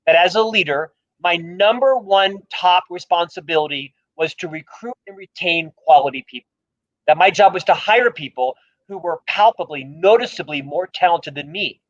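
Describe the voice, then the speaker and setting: High-voiced man with a stutter. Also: normal speed, highs cut off. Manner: emphatic, self-deprecating, openly stutters on some words. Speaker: author and guest speaker. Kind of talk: livestream interview talk.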